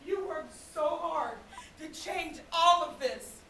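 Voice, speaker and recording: A woman performing a solemn dramatic monologue on stage, deliberate and with dramatic pauses. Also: very high-pitched, expressive, pushed and bright. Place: theatre stage, recorded from the audience. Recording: echoey hall from far off, faint noise.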